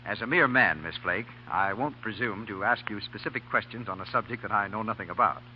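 A man's voice: lowish voice, fairly quick. Formal 1940s male radio announcer. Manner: formal, courteous. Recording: muffled, noisy 1940 radio broadcast.

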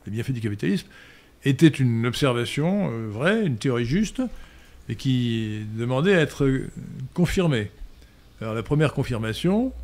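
Older man, gravelly professorial voice. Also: mid-range voice. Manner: professorial, hesitant with frequent "euh" and restarts. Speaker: host answering listener questions. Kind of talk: lecturing Q&A answers. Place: study room indoors. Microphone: studio mic on stand.

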